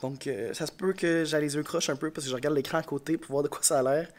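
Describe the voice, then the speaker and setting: A man's voice: a little high, steady tone, fast. A male schoolteacher with a Quebec French accent, congested, chatting casually to camera in a rambling, tired and frank way. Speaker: elementary school teacher. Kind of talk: casual vlog talk to camera. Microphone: camera mic.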